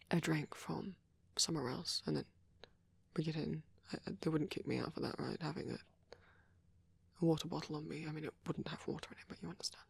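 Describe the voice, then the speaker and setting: A man playing a shy, devoted vampire boyfriend in an intimate ASMR roleplay, gentle, hesitant and stammering, with a breathy voice. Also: a little high, dry recording, heavily acted. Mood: shy, anxious. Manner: hesitant, stammering, soft and rambling.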